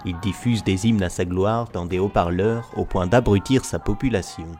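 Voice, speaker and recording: A man's voice: low-pitched, moderate pace, darkish tone. A man narrating a mock documentary in a grave, conspiratorial manner. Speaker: narrator of a satirical investigation video. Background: background music under the voice.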